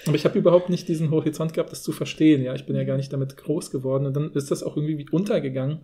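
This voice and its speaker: A man's voice: on the high side, steady tone, rapid-fire, dark tone. A man from Poland who grew up partly in Germany, co-hosting a podcast in a casual, rambling way. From Poland until about age six, then Germany. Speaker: podcast co-host. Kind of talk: casual conversational podcast talk.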